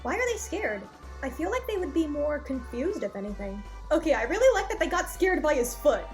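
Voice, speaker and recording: A woman reviewer giving casual, opinionated cartoon commentary with animated asides and exclamations. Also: high-pitched, brisk, faint music behind. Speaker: video reviewer.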